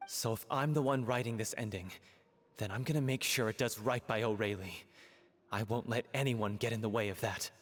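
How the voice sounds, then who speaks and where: A man's voice: fairly low pitch, moderate pace, long reverb tail, natural voice. An actor playing an earnest young man from a theater troupe in a game, speaking heartfelt about the director he loved. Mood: grieving. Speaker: troupe member in love with the late director. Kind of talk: game voice acting.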